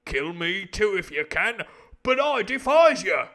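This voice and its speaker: Storybook sailor, acted by a male reader: stout-hearted and defiant, high, loud, slow declamation. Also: very animated, heavily acted. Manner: slow, drawn-out, declamatory rustic pirate speech.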